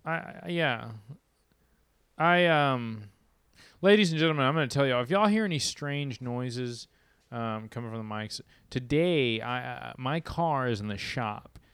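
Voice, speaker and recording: A man's voice: medium pitch, lively intonation, a little slow, a bit bright, clean tone, dry recording. A young man hosting a casual podcast on a handheld mic, rambling with restarts and casual swearing while addressing listeners. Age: young adult. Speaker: podcast host. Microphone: handheld mic with pop filter.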